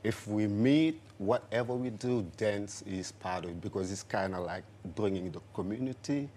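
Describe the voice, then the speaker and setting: Brief: middle-aged man from Senegal, lowish voice, halting friendly explaining, faint studio hum. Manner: warm, halting, with many fillers and restarts. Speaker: dance teacher and guest. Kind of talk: studio interview.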